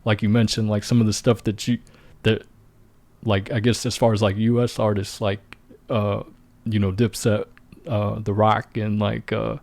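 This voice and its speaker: A man's voice: fairly low pitch, level tone, normal speed, gravelly, a little mellow, dry recording. A man in his thirties hosting a casual interview, asking hesitant questions with restarts. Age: 30s. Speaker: podcast host.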